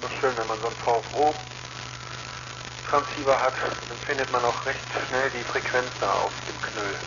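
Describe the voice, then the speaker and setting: A man's voice: medium pitch, steady tone, normal speed. A man talking casually as an amateur radio operator during a radio contact. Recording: dull sound with clear radio noise.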